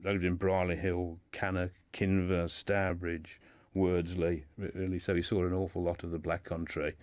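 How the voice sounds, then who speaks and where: A man's voice: deep voice, flat delivery, moderate pace, a little mellow, no room sound. A man speaking as a guest in a radio discussion, telling a life story informally and often repeating words as he builds his sentences.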